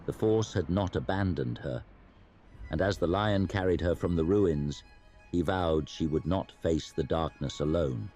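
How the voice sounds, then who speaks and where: A man delivers dramatic story narration in a low, mellow voice. His delivery is solemn and measured, with a theatrical sense of gravity. Faint music plays behind him. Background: music under the voice.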